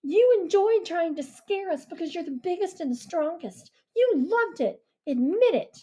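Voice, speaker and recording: A woman's voice: very high voice, lively intonation, normal speed, warm tone, highs cut off, pitched above the performer's own voice. A woman doing a fierce, accusing teenage girl. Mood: angry. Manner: accusing, intense. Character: fierce righteous teenage girl leading a trial.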